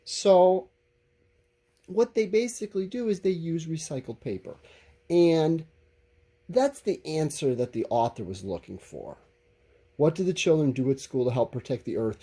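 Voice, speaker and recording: Mellow, slightly husky male teacher, animated delivery. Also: on the high side, a little slow. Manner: conversational, explanatory, candidly opinionated. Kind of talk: informal recorded lesson, talking to students.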